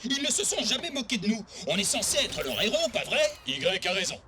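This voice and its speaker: A man voicing an excitable young robot hero who complains dramatically and is easily embarrassed, in a French cartoon dub. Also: high voice, brisk, background noise. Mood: pleading. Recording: loud music behind the voice.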